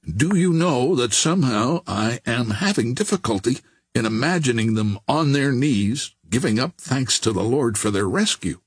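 A man voicing a lovesick, bantering young gentleman in an audiobook, earnest and teasing. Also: mid-range voice, animated, moderate pace, slightly rough, dry recording.

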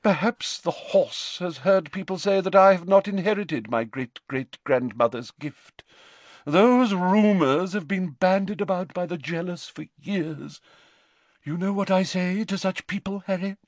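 Guy doing a haughty spooky fortune-teller lady, high and swoopy, very theatrical. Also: lively intonation, a little slow, a little mellow, no echo. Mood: aggrieved dramatic. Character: eccentric haughty middle-aged woman seer, dramatic.

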